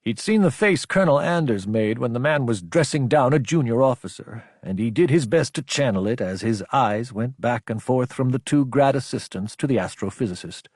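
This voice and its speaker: A man's voice: mid-range voice, animated, normal speed, few pauses, darkish tone, slightly rough, no echo, studio quality. A man reading an audiobook as dramatic scripted narration.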